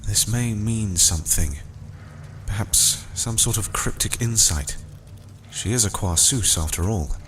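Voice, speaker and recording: A man playing a calm, thoughtful vampire gang member, speaking reflectively in an amateur audio drama. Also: on the low side, a little hiss or hum. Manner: measured, reflective.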